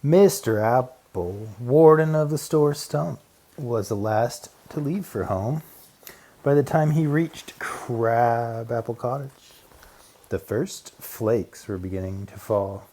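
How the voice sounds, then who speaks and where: A man narrating a children's storybook aloud as an audiobook, with deliberate, dramatic pauses and emphasis. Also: medium pitch, dark tone.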